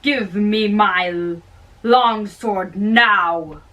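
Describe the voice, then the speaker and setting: A woman's voice: mid-range voice, drawn-out words, fairly bright tone, muffled recording. A woman playing a belligerent, indignant old lord in an amateur stage play reading. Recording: distant microphone.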